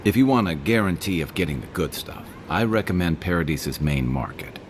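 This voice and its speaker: A man voicing a gruff, wry, streetwise adventuring companion in a video game. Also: deep voice, lively intonation, a little fast, background noise. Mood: wry, practical.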